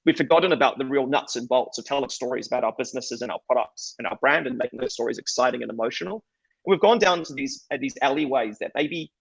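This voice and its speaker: A man's voice: medium pitch, vocal fry, fairly bright tone, no room sound, low-quality recording. A middle-aged man with a New Zealand accent giving a conference talk in a conversational, self-deprecating way with frequent restarts. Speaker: conference speaker. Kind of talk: conference presentation.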